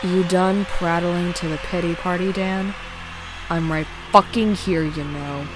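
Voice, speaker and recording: A woman's voice: lowish voice, fairly even intonation, measured pace, background noise, natural voice. A woman playing a bored, cocky tournament fighter in a visual novel, dismissive and sarcastic. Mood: bored, mocking. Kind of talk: acted visual novel dialogue.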